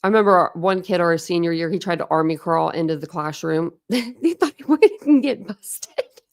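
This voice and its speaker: Female livestream host, Midwestern accent, slightly low register with a gravelly fry, chatty and rambling. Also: expressive, moderate pace, darkish tone. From Ohio. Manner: rambling, heavy use of "like", restarts. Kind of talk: casual rambling chat.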